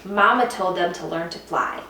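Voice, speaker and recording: A woman reading a children's picture book aloud as a teacher would, with expressive, dramatic emphasis. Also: on the low side, normal speed, creaky. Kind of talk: expressive scripted storybook narration. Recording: echoey room, distant mic, faint noise.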